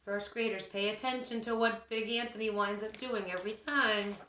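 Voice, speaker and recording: A woman teacher reading a children's story aloud, expressive and deliberate in her telling. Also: mid-range voice. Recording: roomy, echoing sound.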